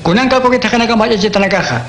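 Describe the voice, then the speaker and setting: An actor playing a calm male preacher who teaches crowds in a steady, preaching manner, in a radio drama. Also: high voice, racing. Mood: teaching. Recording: echoey room, faint noise.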